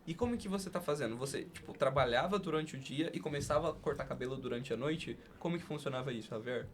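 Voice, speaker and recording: A man's voice: medium pitch, quick pace, a little hiss or hum. A man hosting a casual podcast interview, talking informally and with emphasis.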